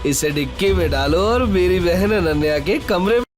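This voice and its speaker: A man's voice: high-pitched, lively intonation, normal speed, faint music behind. A man playing a commanding, scheming young rich villain in an audio drama. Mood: gloating. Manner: gloating, bossy. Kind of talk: Hindi audio drama acting.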